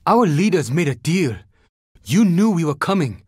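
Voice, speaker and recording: A man's voice: a little high, big pitch swings, normal speed, darkish tone, husky, dry recording, studio quality. A man voicing a young survivor in a zombie video game, terse, earnest and urgent. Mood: guarded, low-key. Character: young Japanese male survivor fighting a zombie horde, urgent, determined. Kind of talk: video game voice lines, dramatic combat barks.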